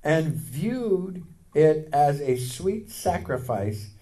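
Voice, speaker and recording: A man in his fifties giving a sermon testimony, telling a personal story and acting out the words of others with rising excitement. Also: on the high side, very slow. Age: 50s.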